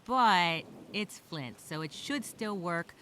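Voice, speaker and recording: Woman playing stranded female diarist, resilient and chatty, lively swooping pitch, bright tone, self-talk storytelling, faint background ambience. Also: takes it slowly, a little hiss or hum. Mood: practical, musing. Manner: expressive, exclaiming, gasping, talking to herself. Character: stranded castaway woman journaling, resilient, chatty, wryly upbeat. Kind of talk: first-person diary storytelling, acted.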